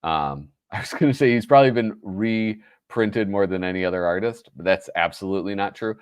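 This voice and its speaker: A man with a deep, low-pitched and noticeably nasal voice, talking casually as the host of a livestream. He rambles, restarts his sentences and fills gaps with ums and uhs. The sound is dry and close, with almost no room around it. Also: fairly quick, smooth, no room sound. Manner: rambling, self-correcting, full of fillers.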